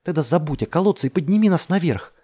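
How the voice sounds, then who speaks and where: Man voicing a desperate, shouting woman, pitch raised. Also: lively intonation, fast, husky. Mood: desperate, insistent. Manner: shouting, urgent, insistent. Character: desperate, commanding young woman urging others to climb.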